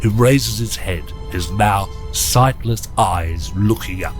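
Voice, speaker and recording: A man playing a grave, theatrical storyteller, narrating a radio suspense drama in a measured, formal and deliberate manner. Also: fairly low pitch. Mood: dramatic, suspenseful. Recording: loud music under voice.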